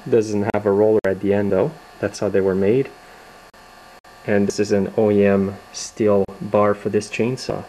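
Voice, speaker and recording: A young man hosting a casual show-and-tell video in his garage workshop, explaining in a relaxed, plain-spoken way. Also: fairly low pitch, normal speed, slight background noise, rough recording. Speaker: video host. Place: home garage workshop, indoors.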